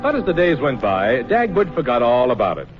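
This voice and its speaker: A man's voice: mid-range voice, wildly swooping pitch, fairly quick. A male announcer introducing a 1940s radio show in a showy, folksy way. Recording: muffled old radio recording. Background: loud music.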